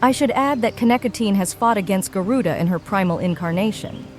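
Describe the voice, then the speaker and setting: An actress voicing a serene, dignified healer and leader in a video game, speaking archaic, formal English. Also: female voice, medium pitch, fairly quick, darkish tone, natural voice. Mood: gracious, composed. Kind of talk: scripted video game voice acting. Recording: studio voice under game music.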